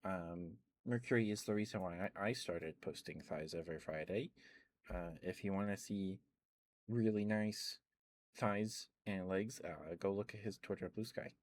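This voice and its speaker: A man's voice: sits low, moderate pace. A man doing ASMR as a live streamer, chatting casually and affectionately with viewers close to the microphone. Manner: affectionate, addresses viewers by pet names.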